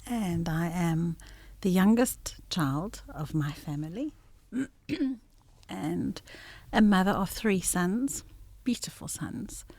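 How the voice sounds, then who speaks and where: A woman's voice: fairly low pitch, animated, slow pace, a little mellow, dry recording. A woman in her sixties, a business administrator, introducing herself in a casual family interview with teasing, playful humour.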